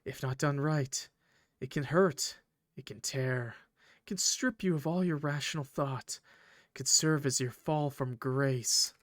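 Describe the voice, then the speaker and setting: A man voicing a polite, eloquent male train conductor villain from a visual novel, formal and measured, with quiet menace. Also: medium pitch, dry recording, clean studio sound, natural voice. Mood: musing, sinister. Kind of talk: visual novel character read aloud.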